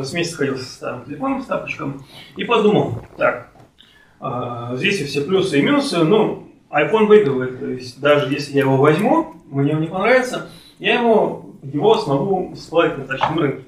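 A man in his late twenties in casual podcast conversation, speaking in a halting, hesitant way with many pauses. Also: a little high, lively intonation, recorded from a distance. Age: late 20s, turning thirty. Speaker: podcast participant. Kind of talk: casual conversation about personal life. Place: friend's home, indoors. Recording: echoey room, off-mic, faint noise.

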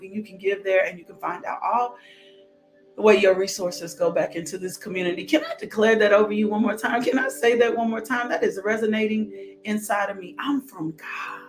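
A woman preaching with fervor, her declarations repeated again and again. She breaks into sudden high whoops, then sinks to near-whispered prayer. Faint music plays behind her. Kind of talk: fervent sermon with altar call and blessing.

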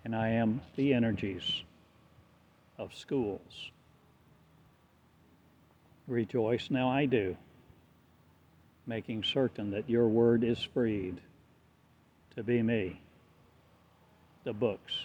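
A man delivers a slow, incantatory prophetic monologue, rising loud and sinking quiet again. The sound is thin and phone-like, with a faint hiss behind it. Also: fairly low pitch. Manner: incantatory, repetitive, swelling and fading in loudness. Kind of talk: prophetic declamation. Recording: tinny phone-like audio with faint noise.

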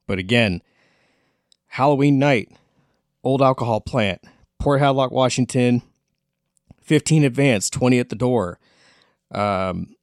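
A man's voice: fairly low pitch, no room sound, studio quality. An American man hosting a podcast, casually promoting events and chatting with guests. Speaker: podcast host.

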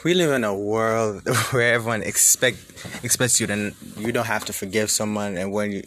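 Low-voiced young man, noisy recording. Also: normal speed, fairly bright tone. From Jamaica. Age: young adult. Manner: conversational, emphatic with rhetorical questions. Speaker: student sharing personal reflections. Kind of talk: casual monologue, thinking aloud.

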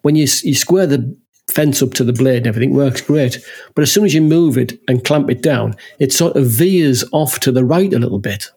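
Chatty husky man on a podcast. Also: medium pitch, animated, a little fast, hardly any pauses, darkish tone. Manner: chatty, laughs often, tags lines with "huh?". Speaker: podcast co-host. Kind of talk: casual chat.

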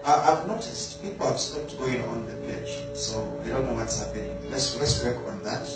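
Male preacher, measured emphatic delivery; distant mic in a very reverberant room. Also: mid-range voice. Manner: slow, emphatic, repeats key words. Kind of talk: sermon. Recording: very echoey room, voice from afar, faint music.

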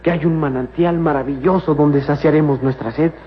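A fairly high male voice, an actor's, plays a loyal young companion in a vintage radio adventure. He sounds respectful and earnest, a devout servant addressing his master. The recording is dull with some hiss. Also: fairly even intonation, fairly quick, lightly acted. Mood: playful, teasing. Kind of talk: old radio serial acting. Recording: muffled, noisy old radio serial recording.

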